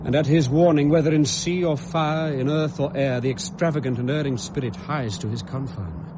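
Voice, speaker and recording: An actor playing an educated, steadfast gentleman in a classical Shakespearean radio drama, speaking formal declamatory verse. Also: on the high side, normal speed, background noise, lightly acted. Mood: grave, awed. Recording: 1970s radio drama with background sound effects.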